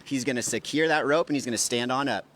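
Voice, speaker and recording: A man's voice: medium pitch, fast, a bit bright. A man speaking as a fitness coach, explaining things informally and instructively in a live interview. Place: gym, on location. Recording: faint noise of a gym.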